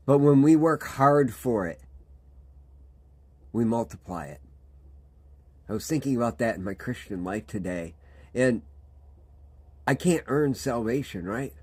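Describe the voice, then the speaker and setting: A man, a pastor giving a reflective devotional talk with pauses and restarts. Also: medium pitch, darkish tone. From Michigan, USA. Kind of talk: devotional sermon.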